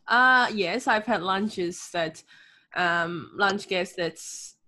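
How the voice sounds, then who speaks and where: A middle-aged woman from Hong Kong with a Hong Kong English accent, chatting in an interview, lively, often laughing, with frequent ums. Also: mid-range voice, very slow. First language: Cantonese. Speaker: guest, author and confidence coach. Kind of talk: casual interview talk.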